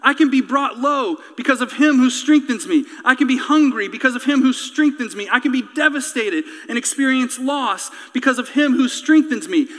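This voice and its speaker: High-pitched, forceful male preacher in a hall. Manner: emphatic, rhetorical, repetitive cadence. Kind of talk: impassioned sermon with repeated phrases, closing in prayer. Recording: reverberant hall sound.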